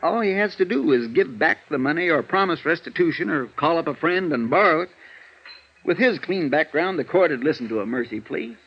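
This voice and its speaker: An actor playing a weary, conscientious defense lawyer in old radio drama, earnest and downcast, on a dated recording. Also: male voice, fairly high pitch, lively intonation, fairly quick, breathy edge. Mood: frustrated, earnest. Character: weary, conscientious male defense lawyer, troubled by his client. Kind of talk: 1950s radio drama dialogue. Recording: dull, faintly noisy old radio recording.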